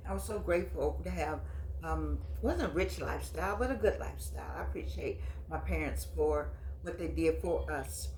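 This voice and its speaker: A woman's voice: on the low side, slight background noise, rough recording. Chatty woman in her eighties. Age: 80s. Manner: chatty, reminiscing, drawn-out phrases. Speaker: host. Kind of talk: casual vlog monologue.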